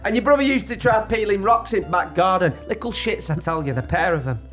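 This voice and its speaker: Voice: mid-range voice, lively intonation, fairly quick, a bit bright, faint music behind, close to an everyday voice. A performer voicing a brash, scolding mother, nagging and gossipy. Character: northern English mum, brash and scolding.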